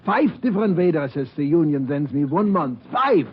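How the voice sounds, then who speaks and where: Defensive suspect, earnest, old radio drama, dull lo-fi broadcast, faint hiss. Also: male voice, fairly high pitch, sing-song, normal speed. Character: nervous restaurant owner, then vocal coach. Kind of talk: 1950s radio drama acting.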